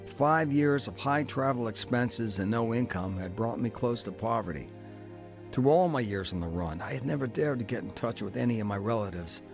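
A man's voice: medium pitch, expressive, moderate pace, creaky, over music. A middle-aged man telling his own story in earnest first-person narration for a radio drama. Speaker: narrator and lead.

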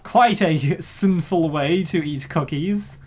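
A man's voice: on the high side, slow, darkish tone, breathy edge. A man hosting casual comic commentary, jokey and given to exaggerated reactions. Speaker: host.

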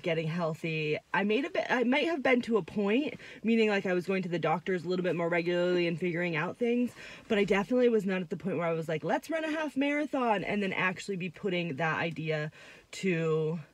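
A woman in her thirties chatting upbeat and rambling into a phone held close, first in a gym hallway and then in a parked car. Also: on the low side. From Vermont, USA. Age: 30s. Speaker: vlogger. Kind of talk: casual vlog chat.

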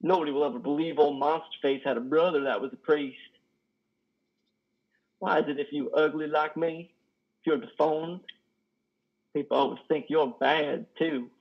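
A man in his thirties playing a lonely, guilt-ridden, simple-minded trucker in a play reading, with halting, folksy speech. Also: on the high side, fairly even intonation, creaky, husky. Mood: childlike delight. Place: indoor room on a video call. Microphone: headset. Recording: muffled video-call audio.

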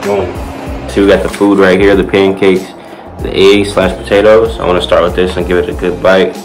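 A man's voice: low voice, fairly quick. A young man chatting casually to his viewers while he cooks in a home kitchen, filmed by a camera a few feet away. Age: young adult. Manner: laid-back, chatty, talks to viewers while cooking. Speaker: fitness vlogger. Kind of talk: casual cooking vlog. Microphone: camera set on the counter, a few feet away. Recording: distant camera mic, music behind.

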